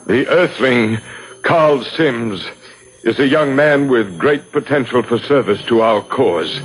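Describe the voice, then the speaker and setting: A man playing a smooth, assured leader of a secretive club in a 1970s radio drama. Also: medium pitch, takes it slowly, a little hiss or hum, natural voice, low-quality recording. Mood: formal, solemn. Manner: reassuring, polished, formal when addressing superiors.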